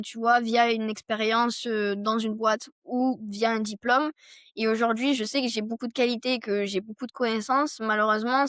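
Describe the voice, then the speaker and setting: A young woman from France speaks as a podcast guest in a smooth, clear voice pitched a little high. She thinks aloud in long, winding sentences full of fillers. The sound is close and dry, with no room around it. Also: fairly bright tone, dry recording. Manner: thoughtful, talks in long winding runs with many fillers. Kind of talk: casual conversational interview.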